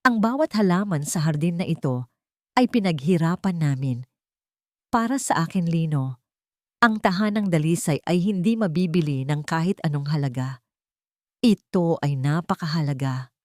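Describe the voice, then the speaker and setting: A woman voicing a gentle, sorrowful widowed mother in a story, speaking softly and earnestly. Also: low voice, lively intonation, normal speed, no echo, studio quality. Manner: soft, earnest, solemn.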